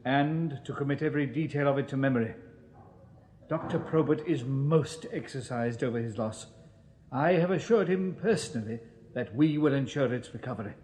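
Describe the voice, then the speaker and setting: An actor playing a pompous, snobbish Victorian police inspector in a radio drama, with an upper-class English accent and formal, condescending delivery. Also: male voice, mid-range voice, moderate pace, a little mellow, a little hiss or hum, lightly acted. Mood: officious. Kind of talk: 1980s British radio drama acting.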